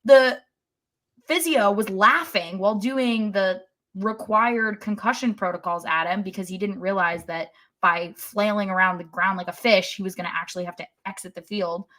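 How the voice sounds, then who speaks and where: A woman's voice: mid-range voice, moderate pace, a bit bright. A woman co-hosting a podcast in casual, opinionated sports fan chat.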